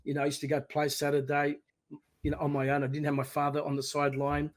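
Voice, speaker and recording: Animated Australian man in his sixties. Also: a little high, fast talker. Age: 60s. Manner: animated, acts out encouraging voices. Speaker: podcast guest. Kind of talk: casual interview.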